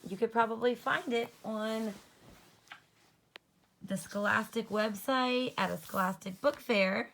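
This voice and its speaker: Bright, slow-paced woman teacher reading aloud. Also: on the high side, creaky, low-quality recording. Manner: expressive, explains words simply to children. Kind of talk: children's storybook read-aloud with explanations.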